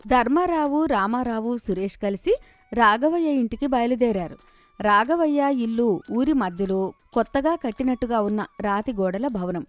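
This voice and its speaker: A woman's voice: a little high, lively intonation, normal speed, no room sound. A woman narrating a scripted moral story as its storyteller.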